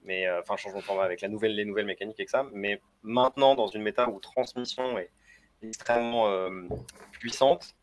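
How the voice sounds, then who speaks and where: A man with a somewhat low voice chats casually on a card game panel. He states his verdicts plainly and with confidence, summing things up for the listener. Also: steady tone, quick pace, a little hiss or hum. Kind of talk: casual strategy discussion.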